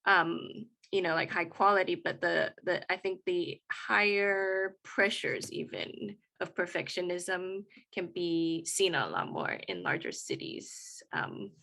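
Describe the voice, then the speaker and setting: Bright-voiced woman speaking on a panel. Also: fairly low pitch, lively intonation, slow, gravelly, poor audio. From United States. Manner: earnest, reflective, with frequent fillers. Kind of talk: panel discussion.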